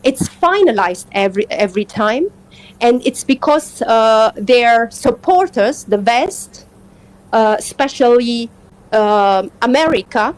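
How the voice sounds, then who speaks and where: A woman from Afghanistan, a native Persian speaker, in a live political discussion, speaking earnestly and haltingly as she searches for words. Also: fairly high pitch, lively intonation, rough recording. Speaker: interview guest.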